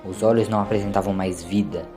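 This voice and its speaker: A man's voice: sits low, fairly even intonation, fast, dark tone. A man with a Brazilian accent reading a scripted audio story as its narrator. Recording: music under the voice. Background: music.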